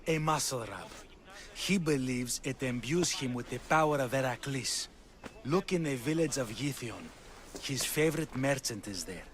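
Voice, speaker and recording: A man's voice: mid-range voice, lively intonation, normal speed, natural voice. An actor playing a proud, earnest male athletic trainer of ancient Greece in a video game. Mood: guarded, courteous. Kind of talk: video game cutscene acting.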